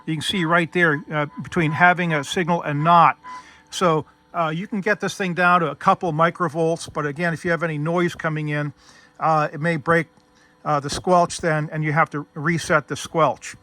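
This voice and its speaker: Bright, higher-pitched man giving a hands-on demo. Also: flat delivery, normal speed, no room sound. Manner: methodical, step-by-step explaining. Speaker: host demonstrating vintage radio gear. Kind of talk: casual hands-on technical demonstration.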